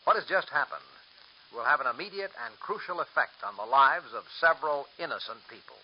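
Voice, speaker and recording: A male announcer narrating recap and scene-setting for a radio serial, measured and formal. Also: mid-range voice, sing-song. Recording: dull old radio recording with hiss.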